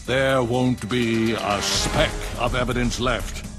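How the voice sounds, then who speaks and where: An actor with an American accent playing a cold, condescending government official in a video game cutscene, smug in manner. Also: male voice, mid-range voice, steady tone, slow, over music, close to an everyday voice. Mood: smug, dismissive.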